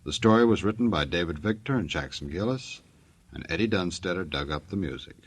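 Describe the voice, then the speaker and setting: Casting: deep, raspy American male announcer, folksy and jokey, 1940s radio style. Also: animated, fairly quick.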